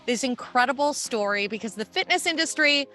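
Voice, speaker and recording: A woman's voice: high voice, lively intonation, brisk, pushed and bright, soft background music. A woman hosting a podcast, chatty and upbeat as she interviews a guest. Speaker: podcast host.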